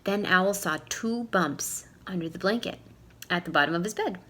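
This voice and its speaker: A woman's voice: on the low side, normal speed, bright, forceful tone. A woman reading a storybook aloud to young children in a teacherly way, putting questions to her listeners.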